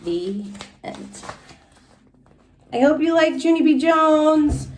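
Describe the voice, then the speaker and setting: Female teacher, read-aloud for kids with commentary; higher voice, roomy echo, off-mic. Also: lively intonation, takes it slowly, a little hiss or hum. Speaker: teacher reading aloud to children. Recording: echoey room, distant from mic.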